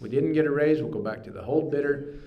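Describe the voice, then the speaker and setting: A man's voice: fairly high pitch, fast talker, muffled recording. A man working as an auctioneer, calling bids in a repetitive, rhythmic chant and explaining the bidding plainly to the room.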